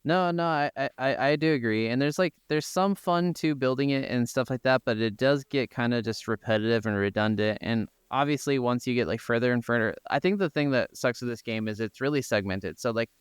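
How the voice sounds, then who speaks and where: A man's voice: medium pitch, fairly quick, few pauses, smooth, no room sound, clean studio sound. An American man co-hosting a podcast, chatting casually about games in an informal, rambling way. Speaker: podcast co-host. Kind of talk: casual gaming chat.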